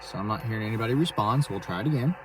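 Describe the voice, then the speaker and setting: Guy doing a ham radio vlog on his phone, kinda low voice, stops and starts, bit of noise. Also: expressive, very fast. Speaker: amateur radio vlogger. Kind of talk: casual explanatory demonstration to camera. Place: inside a car for the later part. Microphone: phone camera. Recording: clearly noisy phone video recording.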